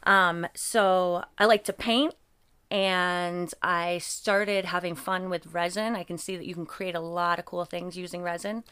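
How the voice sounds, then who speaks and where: A clear, smooth-spoken woman with an American accent faintly touched by English, the kind of guest heard chatting on a relaxed creative podcast. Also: lowish voice, measured pace. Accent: mostly American with occasional English traces. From England. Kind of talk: casual conversational interview.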